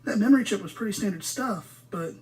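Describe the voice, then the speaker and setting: An actress plays a female technician in an audio drama, blunt but flustered. She sounds natural and hesitant, muttering as if she would rather not talk, at a middling pitch. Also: animated, quick pace, darkish tone, natural voice. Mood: irritated, hesitant.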